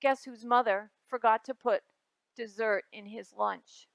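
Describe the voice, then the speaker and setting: Woman reading as a sulky, high-voiced little boy. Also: fairly even intonation, measured pace, gravelly, dry recording. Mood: indignant complaining. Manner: emphatic, complaining. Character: aggrieved, sulky little boy telling his bad day.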